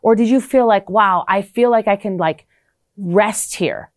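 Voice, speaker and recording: A woman's voice: medium pitch, a little slow, dry recording, studio quality. A woman interviewing a guest, chatty and frank, often affirming what she hears. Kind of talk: conversational interview.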